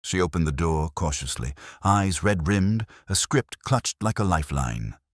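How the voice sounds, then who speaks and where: Male narrator for hard-boiled detective fiction, very deep, husky, wry. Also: expressive, measured pace, no room sound. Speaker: audiobook narrator. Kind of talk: scripted first-person detective story narration.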